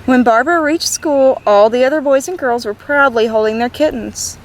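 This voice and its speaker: A woman reading a storybook aloud to children in an expressive, gentle way, as a read-aloud host. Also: high voice, moderate pace, rough recording.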